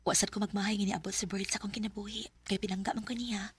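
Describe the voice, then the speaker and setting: A woman's voice: mid-range voice, little pitch movement, fast, over loud music, close to an everyday voice. A Cebuano Filipino woman playing a tender, remorseful young expectant mother in a radio drama. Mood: reflective, subdued. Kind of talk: Visayan radio drama acting and narration.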